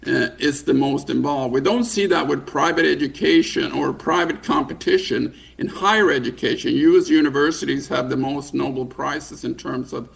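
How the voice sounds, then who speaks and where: A man's voice: mid-range voice, normal speed, creaky, few pauses. A man from Sweden, an economist guest on a radio panel, arguing his point with many fillers and restarts.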